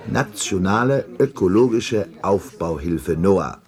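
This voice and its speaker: Low-voiced, wry, weary older father. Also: animated, slow, darkish tone, full character voice. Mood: musing. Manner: wry, brooding, sometimes sarcastic. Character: weary sardonic older father, evasive space-agency clerk. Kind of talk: German science-fiction radio play acting.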